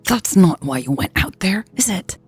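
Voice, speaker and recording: A woman's voice: fairly low pitch, expressive, normal speed, faint music behind, pitched above the performer's own voice, lightly acted. A woman reader voicing an angry, wounded woman leader who speaks in a clipped, accusing way in a romantic audio story. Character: angry, cold, wounded woman leader confronting her lover. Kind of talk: audio fiction dialogue reading.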